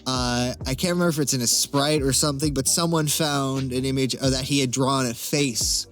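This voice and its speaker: A man's voice: mid-range voice, fairly even intonation, a little fast, fairly bright tone, faint music behind. A man chatting casually as a Q&A guest, talking in a hesitant, wry and self-deprecating way. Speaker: interview guest, amateur voice actor. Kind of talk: casual Q&A chat.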